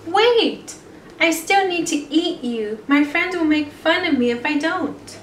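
A woman's voice: sits high, animated, slow pace, fairly bright tone, voice pushed up, heavily acted. A woman voicing a hungry, needy bear from a children's picture book, hesitant and making excuses. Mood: insistent. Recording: echoey room, distant mic, some noise.

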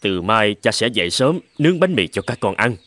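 Low-voiced caring cartoon dad acted by a man. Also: very animated, a little fast, dry recording. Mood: affectionate. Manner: gentle, indulgent, fatherly. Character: caring, busy middle-aged father of two girls. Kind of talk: cartoon dubbing. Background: music.

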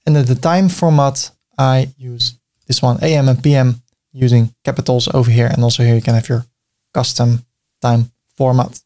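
A man's voice: mid-range voice, fairly even intonation, normal speed, mellow. A middle-aged man from the Netherlands, a native Dutch speaker with a Dutch accent, hosting a website-building tutorial in a friendly, chatty way, cracking small jokes as he clicks through his screen. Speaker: tutorial host. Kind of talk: screen-recorded software tutorial.

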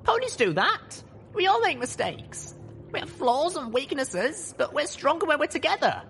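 A man's voice: very high-pitched, sing-song, normal speed, vocal fry, a bit bright, a little hiss or hum, pitched above the performer's own voice, heavily acted. A man voicing a young pony mare heroine, earnest, defiant and idealistic, in a dramatised audiobook. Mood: earnest, hopeful. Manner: stern, earnest speechmaking.

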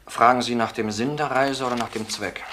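Actor as a quick, quibbling young man, echoey noise. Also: mid-range voice, fairly even intonation, bright, forceful tone, close to an everyday voice. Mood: guarded. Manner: pedantic, philosophical, answers questions with questions. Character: calm, quibbling young commune man, quietly defiant. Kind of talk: German radio crime drama acting. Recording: roomy echo, noisy old radio recording.

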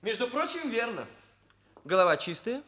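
An actor playing an earnest, self-important young trainee hairdresser in a radio drama, speaking in solemn, pedantic, stilted phrases. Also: male voice, very high-pitched, sing-song, moderate pace, bright, forceful tone, full character voice. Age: young adult. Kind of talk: Soviet radio drama acting.

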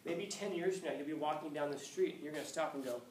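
A man in his fifties, a high school teacher lecturing a class, acting out scenes with playful voices. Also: fast, a little mellow, slight background noise. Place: classroom, indoors. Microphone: far camera. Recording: echoey classroom from far off.

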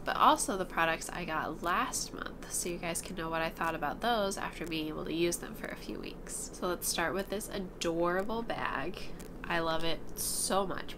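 A woman in her twenties, a vlogger chatting casually to camera about products, slightly congested from allergies, recorded in a small room at home. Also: fairly low pitch. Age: 20s. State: stuffed up from allergies. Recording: clear room noise behind voice.

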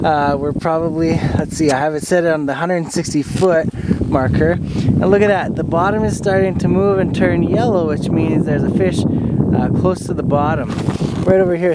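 Man explaining outdoors over loud wind. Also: fairly high pitch. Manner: explains step by step, pointing things out. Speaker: angler demonstrating a fish finder. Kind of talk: casual hands-on tutorial. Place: outdoors on a frozen lake.

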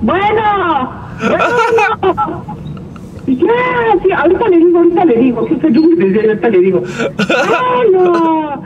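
A woman's voice: very high-pitched, sing-song, measured pace. A middle-aged woman with a Mexican accent chatting casually over a telephone speaker. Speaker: vlogger's mother on a call. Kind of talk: casual phone chat. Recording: dull phone speaker in noisy car.

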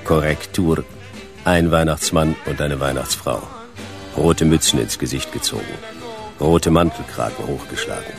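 A man playing a terse, hard-boiled private detective in a noir radio play, gravelly and laconic. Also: extremely low pitch, measured pace, over music, heavily acted. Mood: dry narrating. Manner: terse, laconic, clipped sentences.

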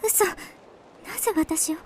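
A woman's voice: a little slow, a little mellow, a little hiss or hum, natural voice. An actress playing a poor, timid young girl in a radio drama, pleading in a hesitant, meek, polite manner. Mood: timid, disbelieving. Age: young adult.

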